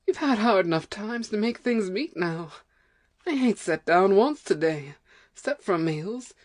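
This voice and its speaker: A woman's voice: medium pitch, animated, unhurried. A woman playing an overworked, nervous, complaining farm wife in an amateur audio play, with folksy rustic speech. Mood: weary, complaining. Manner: folksy rustic dialect, fretful.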